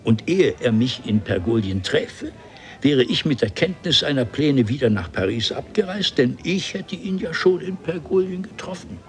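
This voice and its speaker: An actor playing a haughty, eloquent nobleman from an ancient civilization, telling his story theatrically and deliberately in a 1970s German radio play. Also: medium pitch, big pitch swings, natural voice. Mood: indignant, agitated.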